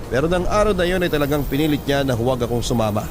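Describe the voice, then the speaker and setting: Male drama narrator: medium pitch, solemn, sentimental, scripted storytelling over prominent music. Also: lively intonation, racing. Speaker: storyteller host reading a listener's letter. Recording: loud music bed behind voice.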